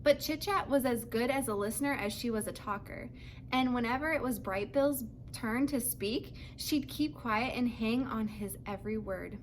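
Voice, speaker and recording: A woman's voice: on the high side, normal speed, a little hiss or hum, poor audio. A woman narrating a children's book as a read-aloud, telling the story to young listeners. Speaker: storybook reader.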